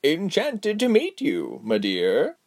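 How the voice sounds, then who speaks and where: A kindly old woman of a fantasy tale, acted out by a man. The pitch is lifted and the gracious words come slowly. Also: wildly swooping pitch, no room sound, clean studio sound, full character voice. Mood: pleasant. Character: Giselle, short kindly old lady in a cottage.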